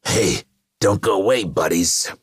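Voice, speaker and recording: A man voicing a shapeshifting monstrous serial killer in an audiobook, a loud, mocking, theatrical showman full of gleeful menace. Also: extremely low pitch, normal speed, breathy edge, no echo, clean studio sound, heavily acted.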